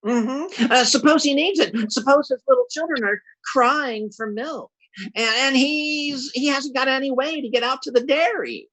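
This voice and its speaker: A man's voice: very high voice, moderate pace, fairly bright tone, low-quality recording. A man playing a bumbling, scheming husband in classic radio comedy, with a folksy, stammering, blustering delivery. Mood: flustered scheming.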